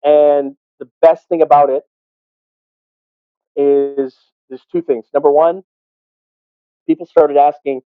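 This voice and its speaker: A man telling stories conversationally as an interview guest, often halting and repeating words. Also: fairly high pitch, little pitch movement, clean tone. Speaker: interview guest, sports arena PA announcer. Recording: roomy, thin phone-like call audio.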